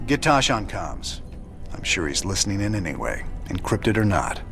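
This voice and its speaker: An actor playing a wary, gruff rebel space commander in a video game, speaking in a laconic, folksy drawl. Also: male voice, deep voice, big pitch swings, moderate pace, fairly bright tone, soft background music, exaggerated voice. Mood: suspicious. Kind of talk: video game cutscene acting.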